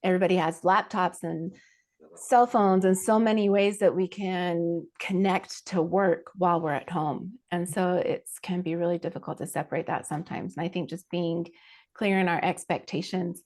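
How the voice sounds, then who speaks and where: A woman's voice: fairly low pitch, fairly even intonation, normal speed, a little mellow. A woman giving conversational advice as a panel guest and coach, in an earnest, reflective way.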